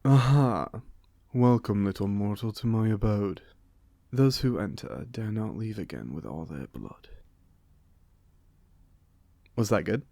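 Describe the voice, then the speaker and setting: Man playing a gravelly, theatrical British vampire. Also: on the low side, lively intonation, slow pace, pauses often, mellow, dry recording, heavily acted. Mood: playful, hospitable. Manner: theatrical, genteel, jokey, conversational. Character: playful, chatty 127-year-old male vampire being interviewed. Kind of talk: ASMR audio roleplay.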